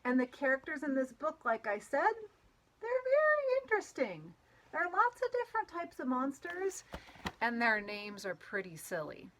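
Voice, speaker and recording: A woman reading a children's picture book aloud in an expressive storytelling manner, drawing out words and playing with the sound words. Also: sits high, normal speed, a little hiss or hum, rough recording. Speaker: storybook read-aloud reader.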